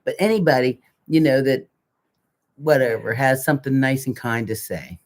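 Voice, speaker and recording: A woman's voice: extremely low pitch, normal speed, a little mellow. An older woman co-hosting a casual live chat, talking in a folksy, colloquial and emphatic way. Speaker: co-host of a live community chat show.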